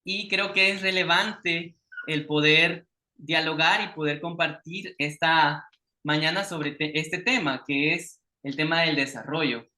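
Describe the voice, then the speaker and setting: A man's voice: on the high side, fairly even intonation, measured pace, pushed and bright. A man from El Salvador with a Salvadoran Spanish accent, giving a formal, earnest prepared presentation as an invited speaker over a video call.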